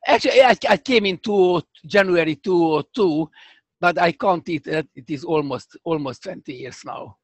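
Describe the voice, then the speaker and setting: An older man with a strong Hungarian accent answers interview questions in careful, halting English. His voice sits a little high and has a gravelly fry. The sound is dry and close. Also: dry recording. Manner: halting, searching for words, many restarts. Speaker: professor guest. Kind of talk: remote interview conversation.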